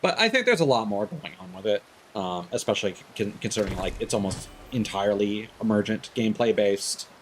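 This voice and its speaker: A man's voice: on the low side, expressive, a little fast, hardly any pauses, slight background noise. A man giving casual live game stream commentary, rambling and thinking aloud into a streaming microphone.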